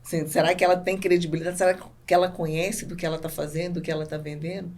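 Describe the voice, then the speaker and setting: Lower-voiced Brazilian woman as podcast guest. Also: flat delivery, very fast, darkish tone. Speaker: podcast guest, business owner. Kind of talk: casual conversational interview.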